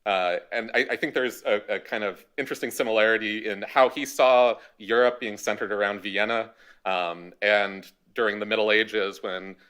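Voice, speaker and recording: A man with a lowish, smooth voice lectures from a podium in a large auditorium. He halts often, with many fillers and restarts, while he walks through the history of his topic. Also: steady tone, normal speed, bright, forceful tone. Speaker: panelist. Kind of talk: academic panel talk.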